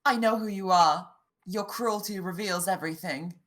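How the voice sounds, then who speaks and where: A woman's voice: lowish voice, normal speed, fairly bright tone, lightly acted. A woman playing a proud, grieving young noblewoman, haughty and bitterly defiant, in a fairy-tale table read. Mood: cold fury. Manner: haughty, wounded, intense.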